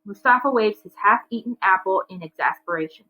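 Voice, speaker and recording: A woman reading a children's novel aloud in an expressive storytelling style, acting out the dialogue as she goes. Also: medium pitch, brisk, phone-quality sound. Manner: animated character dialogue.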